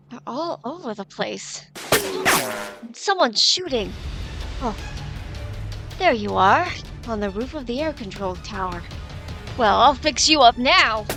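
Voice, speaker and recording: Fearless young superheroine, tough, brisk, radio drama style, music playing loud behind her. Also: on the high side, exaggerated voice. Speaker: voice actor.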